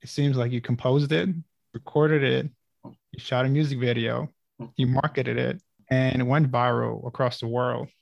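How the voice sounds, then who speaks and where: A man with a gravelly, creaky voice asks his guest curious questions in a dry, close recording. His pitch is average, and he moves quickly through his lead-ins. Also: flat delivery, dry recording, highs cut off. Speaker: interviewer. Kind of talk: interview questions.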